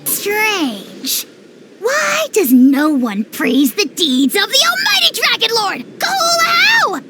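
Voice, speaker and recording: A woman's voice: very high voice, huge pitch swings, takes it slowly, heavy background noise, full character voice. A woman voicing a tiny, vain, pompous dragon lord in a video game, with grandiose, theatrical haughtiness. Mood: indignant boasting.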